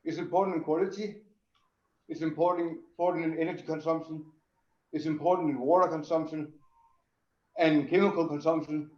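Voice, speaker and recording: A man's voice: a little high, fairly even intonation, fairly quick, a little mellow. A middle-aged man from Denmark explaining his work as an interview guest. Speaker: interview guest, tannery sustainability director. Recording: roomy, dull lo-fi sound.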